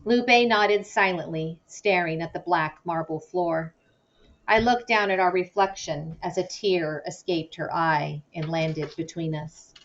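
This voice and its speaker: A woman's voice: on the low side, highs cut off. A woman giving scripted narration of a children's novel as a read-aloud storyteller.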